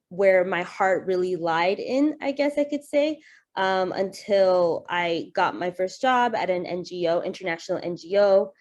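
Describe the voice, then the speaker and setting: A woman's voice: lowish voice, a little mellow. Woman, earnest webinar presenter. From Canada. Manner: earnest, conversational, personal storytelling. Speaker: youth program coordinator presenting.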